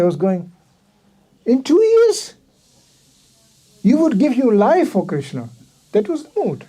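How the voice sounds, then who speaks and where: An older man gives a sermon at a temple. He tells stories with lively swings and acts out what people said. His voice is mellow and warm, not bright. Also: fairly high pitch. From Czech Republic. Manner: animated storytelling, acting out quotes. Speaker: visiting speaker at a temple.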